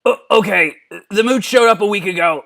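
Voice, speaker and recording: A man voicing a belligerent, drunken old mad scientist in a cartoon-style comedic reading, gravelly and stammering, repeating himself and cursing. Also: high-pitched, fairly quick, fairly bright tone. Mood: agitated, boastful. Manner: stammering, repeating words, profane.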